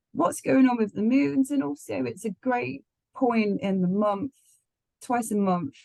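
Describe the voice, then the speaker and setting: A woman astrologer with the flu, croaky, chatting casually with many ums. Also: mid-range voice, a little mellow, no room sound, poor audio. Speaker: astrologer co-host.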